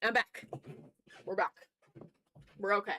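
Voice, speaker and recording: A woman's voice: medium pitch, fairly even intonation, measured pace, bright, forceful tone, no echo. A woman hosting an art livestream, chatting casually and informally to her viewers.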